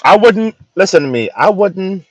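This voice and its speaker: A man from the Bahamas co-hosting a casual podcast chat, halting and repeating words with many fillers. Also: high voice, animated, a bit bright, dry recording. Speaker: co-host.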